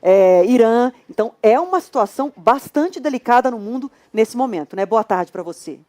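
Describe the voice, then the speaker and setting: A woman's voice: fairly high pitch, lively intonation, normal speed, dark tone. A woman with a Brazilian accent anchoring live news and commenting on it in a conversational, explanatory way.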